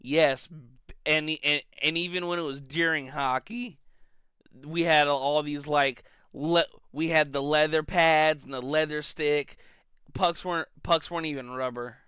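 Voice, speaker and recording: Man, podcast co-host, slightly high bright voice, very clean close dry sound, casual storytelling, swearing. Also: a little slow, clear, resonant tone, no room sound. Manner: animated retelling, casual swearing. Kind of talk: casual comic anecdote chat.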